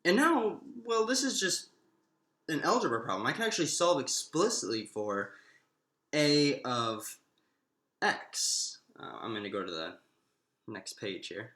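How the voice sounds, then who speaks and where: A man teaches like an informal math instructor, thinking aloud as he goes. His pitch moves in lively swings and his tone is bright and forward. The sound is roomy, with clear echo around the voice. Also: unhurried. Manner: explanatory, thinking aloud with self-posed questions. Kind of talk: informal math lecture, working through steps aloud.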